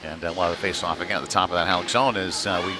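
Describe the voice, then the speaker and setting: A man giving live play-by-play of youth hockey in a conversational, folksy manner, with pauses between calls. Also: low-pitched, fairly even intonation. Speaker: play-by-play commentator. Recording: noisy live rink feed.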